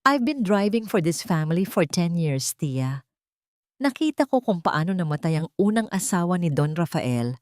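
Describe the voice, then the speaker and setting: A woman voicing a serious male family chauffeur in an audiobook drama, speaking low and confiding. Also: sing-song, moderate pace, a little mellow, dry recording, clean studio sound.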